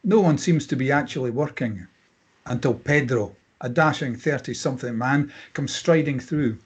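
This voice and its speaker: Male narrator, middle pitch, raspy husky tone, scripted screenplay reading. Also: moderate pace. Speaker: stage-direction narrator.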